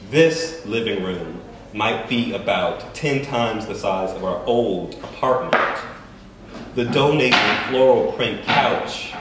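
A man reading his own fiction aloud at an open mic in a bookstore, measured and deliberate. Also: lowish voice, a bit bright. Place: bookstore event space, indoors. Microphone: stand mic, recorded by a far camera. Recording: echoey room from far off, faint noise.

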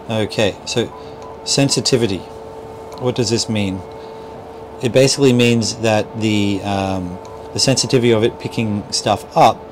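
Middle aged guy doing a casual how-to, mid pitched voice, steady background noise in a small boat cabin. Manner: explanatory, step-by-step, checks in with "right?". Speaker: boat dealer instructor. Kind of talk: informal how-to demonstration. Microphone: clip-on lavalier mic.

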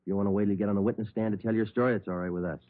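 An actor playing a terse, unflappable police detective in a 1950s radio crime drama, clipped and matter-of-fact. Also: male voice, on the low side, fairly even intonation, racing, natural voice. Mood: coolly pressing. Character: terse, unflappable male police detective, narrating his case. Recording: muffled old radio recording.

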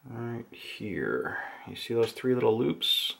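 Slow, gravelly man at a workbench. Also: medium pitch, very animated, fairly bright tone. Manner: slow, halting, talks to himself while working. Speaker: hobbyist showing a radio modification. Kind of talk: casual hands-on demonstration. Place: indoors at a wooden workbench.

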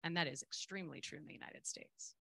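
Woman, middle-aged, low pitch, crisp forceful edge, reflective panel discussion. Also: fast talker. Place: indoor conference stage.

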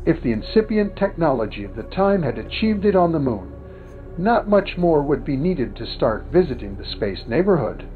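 Tinny, lively male documentary narrator. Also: on the high side, normal speed. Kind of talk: formal scripted documentary narration. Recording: tinny phone-like sound over music. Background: background music.

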